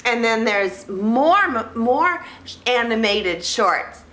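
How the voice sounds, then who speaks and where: A woman with a bright, forward voice talks slowly in an echoey room. Her delivery is childlike and naive, built from simple sentences. She rambles casually as a vlog host, often repeating a phrase while she looks for the next word. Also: fairly high pitch, sing-song. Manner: halting, repeats phrases as she searches for words. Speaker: vlog host reviewing films. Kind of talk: casual rambling talk to camera.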